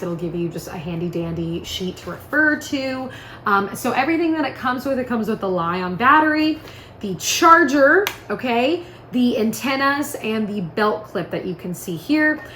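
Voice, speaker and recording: A woman's voice: medium pitch, bright, forceful tone. A woman giving a casual product demonstration in an upbeat, informal, chatty way. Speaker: product presenter. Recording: echoey room with faint noise.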